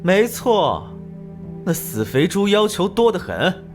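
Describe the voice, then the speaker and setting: A brazen, mercenary woman assistant from an animated crime drama. She is sassy and mocking, with a drawling, gossipy delivery that swings widely in pitch. Soft music plays behind her. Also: slow. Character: brazen, flirtatious, sharp-tongued woman assistant with mercenary streak.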